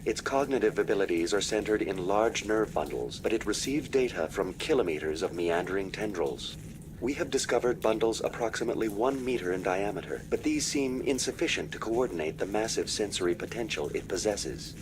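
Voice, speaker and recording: A man's voice: lowish voice, expressive, background noise, natural voice. Man voicing a formal, impassive computer assistant. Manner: formal, measured, detached. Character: polite, impassive male virtual assistant, unfailingly procedural. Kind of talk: video game voice acting.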